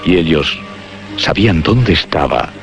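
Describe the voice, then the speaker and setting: A man narrating a suspense radio play in a deliberate, dramatic, scripted style. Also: sits low, expressive, creaky. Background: music.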